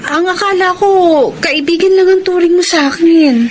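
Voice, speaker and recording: A woman's voice: very high voice, normal speed. An actress with a Filipino accent playing a hesitant young woman torn in love in a radio drama. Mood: flustered, apologetic. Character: young woman torn between seaman boyfriend and admiring friend. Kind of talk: radio drama acting with first-person narration. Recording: music bed, noisy radio drama audio.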